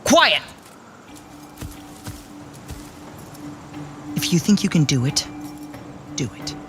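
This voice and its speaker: A hard-nosed military commander in a fantasy game. Her voice is low for a woman, dry and clipped, giving orders without sentiment over a loud musical score. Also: big pitch swings, moderate pace, over music. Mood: cold, commanding. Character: stern female military commander of battle mages, unsentimental. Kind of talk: dramatic video game acting.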